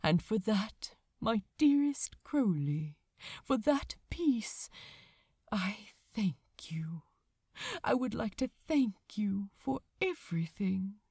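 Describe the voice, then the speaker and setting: A woman voicing a gentle, weary, very old male angel, formal and tender in a hushed audiobook delivery. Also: medium pitch, animated, slow, husky, no room sound, clean studio sound, full character voice. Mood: tender, grateful. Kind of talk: dramatised audiobook narration.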